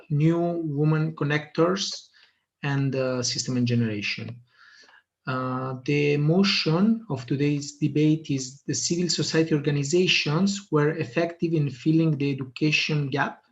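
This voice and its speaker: A man with a slightly high voice hosts a debate over a video call. He halts often and fills gaps with ums and uhs. His audio comes through muffled and dull. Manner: hesitant, many fillers. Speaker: debate moderator. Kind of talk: hosting an online debate.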